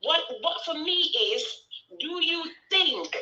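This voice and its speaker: A woman's voice: very high voice, lively intonation, slow, bright, forceful tone, echoey room, lo-fi sound. A Jamaican woman hosting a live-stream chat, talking earnestly and at length, slipping into patois now and then. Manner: rambling, earnest, slips into patois.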